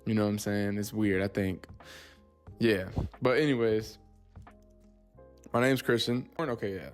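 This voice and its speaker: Rambling young man, low voice. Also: fairly even intonation, unhurried, faint music behind. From Texas, USA. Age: 20s. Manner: loose and rambling, says "you know what I'm saying" often. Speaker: podcast host. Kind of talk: casual solo podcast talk.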